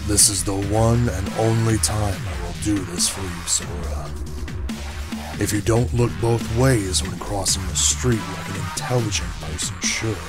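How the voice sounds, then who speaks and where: A man playing the devil in an audio drama, smug and menacing, speaking in a measured, sardonic, condescending way. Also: on the low side, natural voice. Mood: sardonic, threatening. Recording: loud electronic music behind. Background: loud electronic music.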